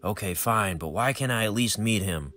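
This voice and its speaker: A man's voice: on the low side, lively intonation, moderate pace, sharp tone, soft background music. A man voicing a hot-headed young male assassin who talks in a brash, pushy way.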